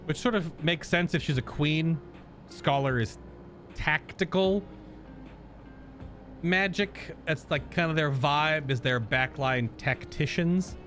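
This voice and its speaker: A man's voice: on the high side, slow, a bit bright, faint music behind. A man giving casual, informal reaction commentary as a game streamer, joking and swearing freely.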